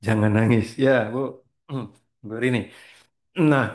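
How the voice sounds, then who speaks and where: A middle-aged man gives a casual motivational talk as a spiritual trainer. He rambles, mixes in English words and keeps clearing his throat. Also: mid-range voice, fairly even intonation, slow pace, darkish tone.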